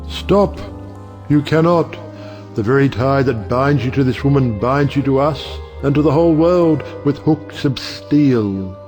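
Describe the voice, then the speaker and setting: A man's voice: mid-range voice, lively intonation, measured pace, darkish tone, lo-fi sound, faint music behind, close to an everyday voice. A man playing a solemn, commanding judge in an audio play, declaiming with urgency. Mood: urgent, commanding. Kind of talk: audio stage play acting.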